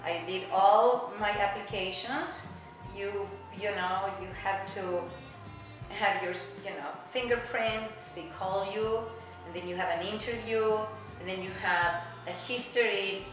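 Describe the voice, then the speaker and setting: A middle-aged woman with a strong Argentine Spanish accent chats loosely about her life, dropping plenty of "you know"s. Her voice sits at an ordinary pitch for a woman. The recording rings with heavy room echo, and clear music plays behind her. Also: steady tone, unhurried. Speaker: immigrant interviewee. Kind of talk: casual oral history interview.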